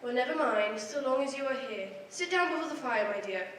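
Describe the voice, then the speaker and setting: A woman's voice: high-pitched, animated, brisk, a bit bright, slight background noise. An actress playing Mrs. Cratchit, a fussing, motherly wife, in a 1940s-style radio play. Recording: echoey stage from far off.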